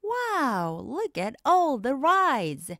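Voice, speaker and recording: Lady doing an excitable little cartoon fox, high voice, very animated, slow storybook pacing. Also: no room sound, clean studio sound, exaggerated voice. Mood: excited. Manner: animated, exclamatory.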